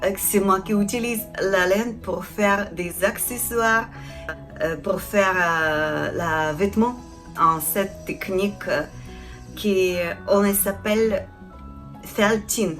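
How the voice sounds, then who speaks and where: A woman from Ukraine with a Ukrainian accent, a non-native speaker introducing her craft channel in a halting way, often searching for words. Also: mid-range voice, fairly bright tone, soft background music. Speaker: craft channel host, felting artisan. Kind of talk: casual introduction to camera.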